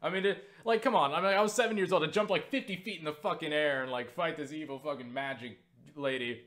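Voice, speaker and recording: A young man casually recounting a story for viewers, excitable and full of swearing and filler words. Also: on the high side, quick pace. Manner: excitable, swears freely, lots of fillers and laughs. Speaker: YouTuber answering viewer questions.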